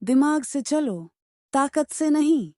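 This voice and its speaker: A woman's voice: high voice, animated, slow pace, dark tone, no room sound, studio quality. A woman voicing a sly, scheming, clever fox in a children's cartoon, cunning and calculating.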